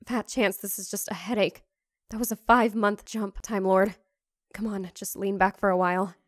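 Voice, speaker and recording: An actress plays a sardonic young woman looking after her friend in a fan-made drama. She talks casually, with a caring, protective streak. Her pitch is medium and the recording is dry. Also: steady tone, normal speed, no room sound, close to an everyday voice. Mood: wry, worried. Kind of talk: fan audio drama acting.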